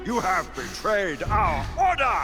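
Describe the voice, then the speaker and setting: An unforgiving lord chancellor in a game cinematic. His voice is gravelly and pushed very high, declaiming with stern outrage over music. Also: wildly swooping pitch, slow pace, full character voice. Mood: outraged. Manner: declamatory, authoritative. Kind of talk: video game cinematic acting.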